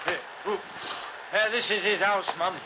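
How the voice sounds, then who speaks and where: High-voiced coachman servant, old radio. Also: steady tone, a little slow. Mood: hurried. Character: deferential servant coachman, hardy and plain-spoken. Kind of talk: 1940s radio acting. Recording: very noisy, muffled old radio recording.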